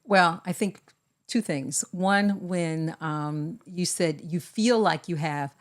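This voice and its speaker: A woman co-hosts a podcast in relaxed conversation, her voice sitting slightly low. She talks in a measured, deliberate way, laying out advice step by step. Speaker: podcast co-host. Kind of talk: casual conversation.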